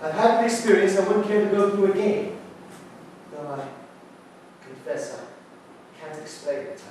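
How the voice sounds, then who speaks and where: A man in a period stage play, acting an earnest, stubborn young solicitor with formal, emphatic, halting speech. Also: sits high, expressive. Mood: uneasy resolve. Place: indoor theatre stage, mic far off. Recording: very echoey theatre, distant mic, faint noise.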